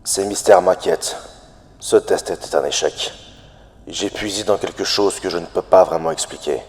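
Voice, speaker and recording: An actor playing a troubled, obsessive inventor in a cartoon-horror fandub, delivering a grave, brooding monologue. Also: male voice, deep voice, normal speed, darkish tone, close to an everyday voice. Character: troubled, obsessive male inventor brooding over failed experiments. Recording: long reverberant hall sound.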